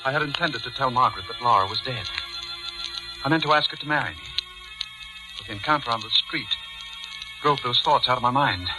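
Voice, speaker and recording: A man's voice: mid-range voice, lively intonation, brisk, pauses often, close to an everyday voice, poor audio. A man acting in a 1940s radio drama as a guilt-ridden, haunted judge, speaking with intense, dramatic delivery on an old recording with music. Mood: brooding, tense. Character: guilty, haunted judge narrating his crime, increasingly panicked.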